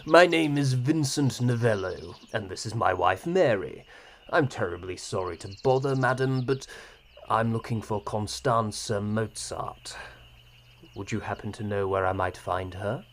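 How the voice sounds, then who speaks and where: A man playing a polite, apologetic gentleman interviewer in a period audio drama, speaking haltingly and courteously. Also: fairly low pitch, slight background noise.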